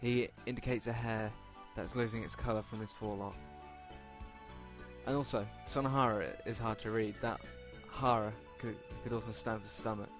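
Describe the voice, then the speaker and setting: A man's voice: fairly low pitch, steady tone, normal speed. A man hosting a let's play, reading visual novel text aloud and stumbling over words now and then. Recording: game music behind the voice. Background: game music.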